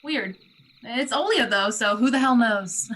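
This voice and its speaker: A woman's voice: on the high side, animated, unhurried, fairly bright tone, a little hiss or hum. A woman playing a self-deprecating young sorority treasurer in a dramatic reading, with a dry, wry manner. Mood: amused, dry.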